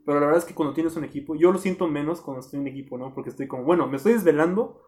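A man's voice: on the high side, racing. A young man, an architecture student reflecting casually on teamwork. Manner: relaxed, reflective, lots of fillers. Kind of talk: casual group chat.

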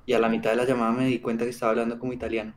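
A man with a Colombian accent co-hosting a podcast, trading quick jokes and slang. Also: medium pitch, monotone, background noise. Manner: quick, joking banter with slang. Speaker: podcast co-host. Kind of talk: casual chat.

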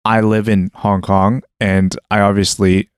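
A man's voice: fairly low pitch, little pitch movement, a little slow, smooth, no echo, clean studio sound. A young man in casual conversation, talking through his thoughts hesitantly with many restarts and fillers. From Western world (Canada).

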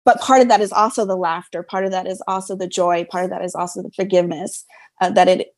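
A woman's voice: lowish voice, a little mellow. A woman answering interview questions as a guest, earnest and emphatic, repeating phrases for weight. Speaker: podcast guest, fan fiction writer.

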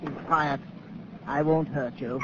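A man's voice: on the high side, steady tone. A man playing a deranged kidnapper in an old radio thriller, gloating and menacing as he croons his threats. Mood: soft menace. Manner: slow crooning menace with wild swoops. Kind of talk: 1930s radio thriller acting. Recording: noisy, muffled old radio recording.

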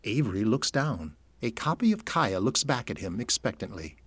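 A man's voice: fairly low pitch, very animated, normal speed, fairly bright tone, husky, no room sound. A man narrating an audio drama from a script, in present-tense storytelling. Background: music.

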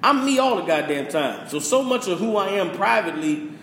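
A man's voice: high-pitched, big pitch swings, brisk, fairly bright tone. A man who rants passionately as a podcast host, preachy and full of profanity. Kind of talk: casual opinion rant. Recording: echoey room with faint hiss.

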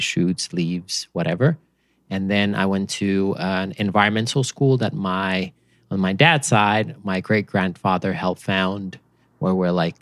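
A man from Finland with a Finnish accent, a company founder chatting casually as a podcast guest, relaxed and rambling with fillers. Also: deep voice, no echo. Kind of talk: casual interview chat.

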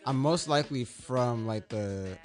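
A man's voice: medium pitch, unhurried. An American man chatting casually with friends on a podcast in a laid-back, slangy way. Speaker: podcast host. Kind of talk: casual banter among friends. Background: music playing in the background.

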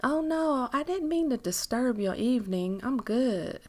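A woman's voice: on the high side, expressive, unhurried, pitched above the performer's own voice. Woman acting a hesitant, guarded woman in love. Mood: startled. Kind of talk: audiobook romance dialogue.

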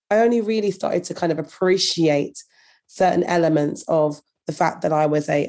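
Woman guest, croaky from a cold, warm dark voice, earnest. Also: sits low. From Birmingham, England. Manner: earnest, thoughtful, with some repeated words. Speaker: guest, anti-racism consultant. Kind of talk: reflective interview storytelling.

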